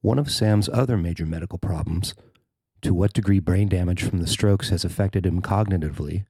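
A man with a low voice reads a written news feature aloud as narrator. The sound is dry, with very little room around him. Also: brisk, dark tone, breathy edge, dry recording. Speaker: writer and narrator of a feature story.

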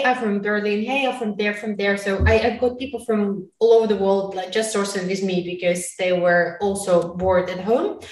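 A woman answering casually as an interview guest, halting as she searches for words, with non-native phrasing. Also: medium pitch. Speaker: interview guest, recruiting sourcer. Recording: roomy, echoey, distant mic.

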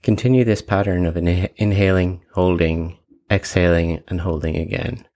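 A man leading a guided meditation with calm, measured instructions and long pauses between phrases. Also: low-pitched.

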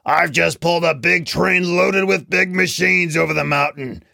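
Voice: medium pitch, normal speed, vocal fry, sharp tone, no room sound, clean studio sound, exaggerated voice. A big, blustering freight engine, as a storyteller puts him on, bellowing from a distance and full of his own importance. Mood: pompous.

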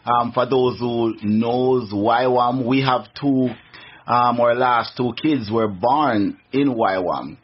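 Man, Jamaican accent, middle-aged, husky voice, earnest, rambling, dull radio sound. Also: mid-range voice, slow pace. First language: Jamaican Patois. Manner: earnest, rambling with many restarts. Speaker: guest. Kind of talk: casual interview, testimony. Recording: muffled radio recording.

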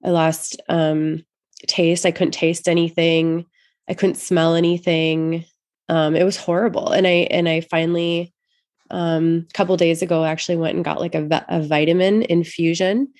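A woman's voice: lowish voice, little pitch movement, normal speed, a little mellow, no echo, clean studio sound. A woman co-hosting a casual faith podcast, chatty and rambling, her voice stuffy as she gets over an illness. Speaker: podcast co-host.

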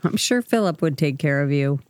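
An actress playing an affectionate, practical, hardworking wife in a radio drama, warm and lightly teasing. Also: female voice, low voice, lively intonation, brisk. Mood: playful.

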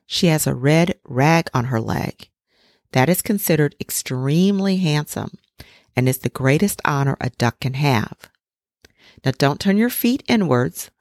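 A woman voicing a mother duck who is weary but proud and protective, with a fussing, gently instructive manner. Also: deep voice, vocal fry, dry recording, studio quality, exaggerated voice. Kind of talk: storybook reading.

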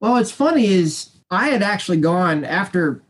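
High-voiced chatty man telling stories. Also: lively intonation, moderate pace, breathy edge. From Kansas City area, US. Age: 40s. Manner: chatty, rambling with fillers and restarts. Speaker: guest. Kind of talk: casual interview storytelling.

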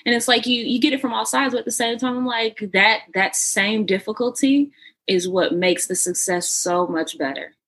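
A woman with a Texan accent, a model and actress chatting as an interview guest, lively and quick to laugh. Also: on the high side, breathy edge. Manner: lively and talkative, laughs easily. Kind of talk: casual interview chat.

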